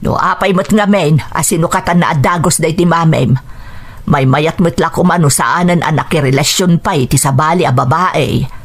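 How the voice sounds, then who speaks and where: A woman's voice: low voice, moderate pace. A woman playing a disapproving, suspicious grandmother in an Ilocano radio drama.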